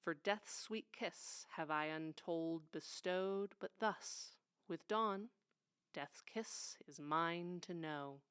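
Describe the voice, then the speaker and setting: A woman reads solemn poetry with deliberate drama. Her voice is fairly low and smooth, and she takes her time. The sound is close and dry. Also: fairly bright tone, dry recording. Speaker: poetry reader.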